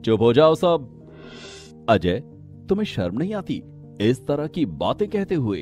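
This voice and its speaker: A man's voice: mid-range voice, sing-song, a little slow, a little mellow, soft background music. A man playing a flustered, stern, easily exasperated schoolteacher in a cartoon. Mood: scolding. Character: flustered, scolding adult male schoolteacher. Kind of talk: cartoon voice acting.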